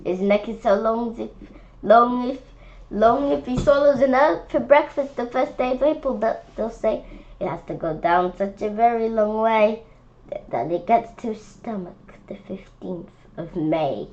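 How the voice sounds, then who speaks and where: A woman's voice: fairly high pitch, lively intonation, a little slow, a little mellow, dull audio. A woman reading a rhyming picture book aloud informally, often stumbling over words and repeating phrases. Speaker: children's book read-aloud reader.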